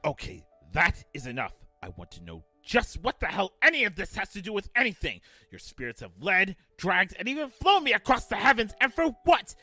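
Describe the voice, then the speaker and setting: A man's voice: high voice, wildly swooping pitch, brisk, hardly any pauses, pushed and bright, no room sound, full character voice. A man playing a desperate, bitter middle-aged father in a fan audio drama, melodramatic and indignant. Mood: outraged. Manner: outraged, pleading, melodramatic. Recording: music under the voice.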